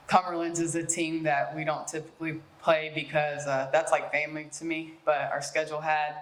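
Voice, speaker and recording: A woman's voice: low-pitched, roomy sound, poor audio. A woman head basketball coach from West Virginia, answering media questions in an easygoing way with lots of fillers. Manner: relaxed, many fillers and restarts. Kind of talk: media day interview answers.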